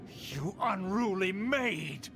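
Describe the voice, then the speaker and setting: A pompous old noble uncle. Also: male voice, high-pitched, lively intonation, very slow, music in the background, exaggerated voice. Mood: indignant. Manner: pompous, lecturing. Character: pompous older noble uncle, haughty and indignant. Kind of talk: video game voice acting.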